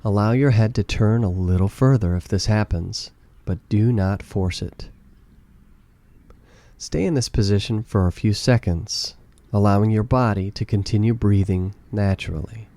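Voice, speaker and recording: Low, mellow man guiding a meditation. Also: moderate pace. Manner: calm, gentle, soothing instruction. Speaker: guided meditation narrator. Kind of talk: slow guided meditation.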